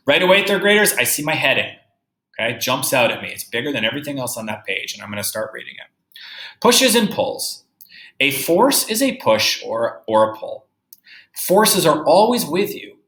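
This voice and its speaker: A man's voice: mid-range voice, fairly bright tone, echoey room, low-quality recording. A man teaching young children in a read-aloud lesson, explaining step by step with emphasis and checking in as he goes. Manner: instructive, emphatic, checking understanding with tag questions. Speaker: third-grade teacher.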